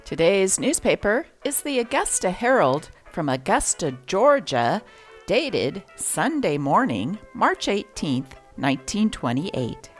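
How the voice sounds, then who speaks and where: A woman hosting a show that reads aloud from old newspapers, with an expressive, varied delivery. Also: slow, soft background music. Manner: expressive reading with lively pitch. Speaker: channel host reading old newspapers.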